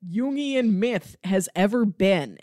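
A woman podcast co-host in a casual, enthusiastic chat about a TV series, quick to laugh. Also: medium pitch, expressive, mellow, no room sound, studio quality.

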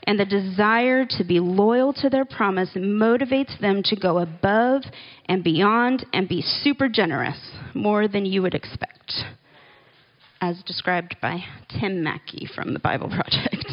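A woman giving a relaxed, conversational Bible teaching, with small laughs and asides as she explains scripture. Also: medium pitch, lo-fi sound. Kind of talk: relaxed church teaching talk.

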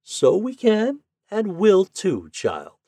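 A man's voice: mid-range voice, wildly swooping pitch, moderate pace, darkish tone, husky, dry recording, pitched above the performer's own voice, exaggerated voice. A man voicing an affectionate old country grandmother in a storybook, with folksy, homely, contented speech. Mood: warm, encouraging.

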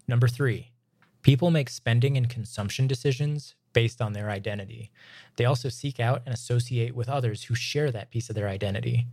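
Male podcast instructor, mid-pitched and slightly hoarse, informal emphatic delivery of scripted material, dry recording. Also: brisk, a little mellow, no room sound. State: slightly hoarse from long reading. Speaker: podcast host and filmmaking instructor. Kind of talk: scripted lesson read aloud, conversational.

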